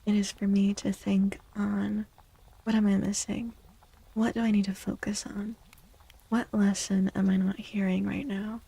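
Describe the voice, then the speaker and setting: A soft-spoken woman livestreaming an ASMR tarot reading, gentle and soothing, with a breathy voice that often slips into a whisper. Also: medium pitch, level tone, dry recording. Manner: gentle, soothing, unhurried reassurance.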